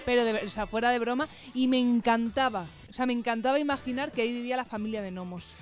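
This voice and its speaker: A young woman with a Madrid accent speaks in a fairly high voice over faint music. She co-hosts casual radio chat and tells things in a lively, self-mocking way. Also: fast. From Madrid, Spain. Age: young adult. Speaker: radio co-host. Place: radio studio.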